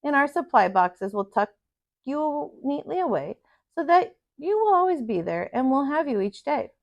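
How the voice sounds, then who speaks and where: A woman's voice: high voice, big pitch swings, moderate pace, voice pushed up. A woman voicing an earnest, apologetic young schoolchild pleading in a children's storybook.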